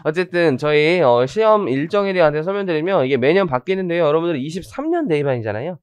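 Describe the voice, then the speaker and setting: A hoarse man in his thirties giving a chatty orientation lecture on tax law, with joking asides and many fillers. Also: fairly high pitch, big pitch swings, fast, dry recording. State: hoarse from rhinitis.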